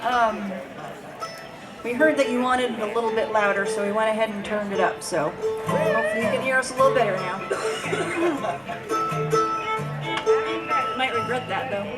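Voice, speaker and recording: A woman's voice: a little high, big pitch swings, a little slow, pauses often, low-quality recording. A woman performer giving casual, lightly self-mocking banter from an outdoor concert stage. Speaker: bluegrass singer and bandleader. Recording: live outdoor stage PA with loud music.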